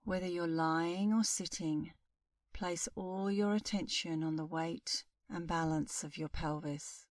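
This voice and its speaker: A middle-aged woman guides a meditation in a calm, soothing manner. Her voice sits a little low and she speaks very slowly, leaving long pauses. The recording is very dry, with no room echo. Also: far from the mic. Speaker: meditation instructor.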